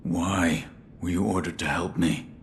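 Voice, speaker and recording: A loyal shinobi from a game cutscene. His voice is extremely low and gruff, his manner terse and solemn. A faint rumbling noise sits behind him. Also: steady tone, unhurried. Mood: guarded. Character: stoic adult male shinobi bodyguard, loyal and terse. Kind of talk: video game cutscene acting.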